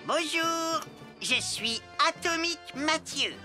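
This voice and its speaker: A woman's voice: sits high, animated, slow pace, music in the background. A woman voicing a little boy playing superhero sidekick, announcing in a French children's cartoon. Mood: proud, announcing.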